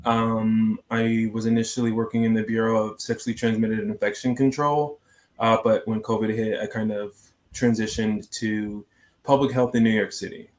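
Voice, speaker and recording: A man speaking casually but professionally as a physician introducing himself on a panel. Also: on the low side.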